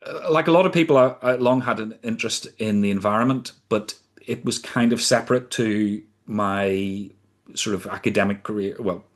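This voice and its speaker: A man in his fifties from the UK, a podcast guest recounting his career in a measured, deliberate way. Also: on the low side. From United Kingdom. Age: 50s. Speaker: podcast guest, sustainability consultant. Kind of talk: reflective storytelling in conversation.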